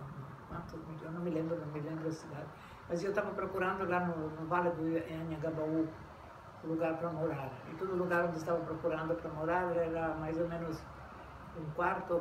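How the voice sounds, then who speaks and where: An older woman being interviewed, recounting her memories in a halting way with many restarts and repeated words. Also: low-pitched. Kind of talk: oral history recollection. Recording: echoey room, mic far off, noisy.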